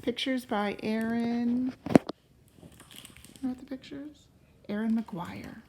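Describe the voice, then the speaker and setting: A woman reading a storybook aloud to a class as a teacher, in a deliberate, expressive way. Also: a little high, very noisy. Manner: deliberate and expressive, pausing to question listeners.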